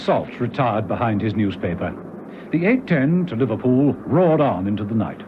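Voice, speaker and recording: A man's voice: medium pitch, very animated, moderate pace, a little hiss or hum. A man narrating a vintage radio serial in a dramatic, scripted style. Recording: dull lo-fi old radio recording. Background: music.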